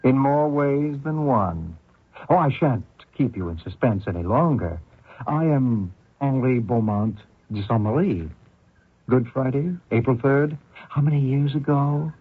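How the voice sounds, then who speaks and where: An actor playing a vengeful Canadian man hunting a fugitive, deliberate and taunting in an old radio drama. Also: medium pitch, animated, breathy edge, no room sound. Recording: muffled thin old radio recording.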